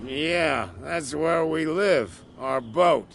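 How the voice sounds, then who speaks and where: A gravelly, slow-talking island drunk. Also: male voice, a little high, fairly bright tone, soft background music, full character voice. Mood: gruff. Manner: gruff, grumbling, suspicious. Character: gruff, idle male island drunk, protective of his saint. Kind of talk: video game voice acting.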